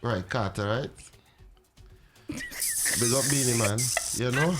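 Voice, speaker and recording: A man's voice: mid-range voice, slow, faint music behind. Trinidadian man telling stories. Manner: animated storytelling, laughs easily, Caribbean slang. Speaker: musician guest. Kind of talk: casual interview chat.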